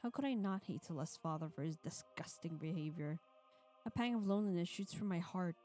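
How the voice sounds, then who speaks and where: A woman's voice: fairly low pitch, expressive, a little fast, mellow. A woman on a live stream, sounding a little tired as she reads a game story aloud and banters with co-streamers. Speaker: streamer reading a visual novel aloud with co-streamers. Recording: music behind the voice.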